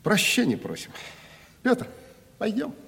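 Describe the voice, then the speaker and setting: An actor playing a crafty, uneducated provincial timber merchant in a Russian radio play, wheedling and mock-humble in a folksy way. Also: male voice, sits high, wildly swooping pitch, measured pace, vocal fry, fairly bright tone, husky, exaggerated voice. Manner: wheedling, mock-humble, colloquial peasant turns. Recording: reverberant 1970s radio play recording.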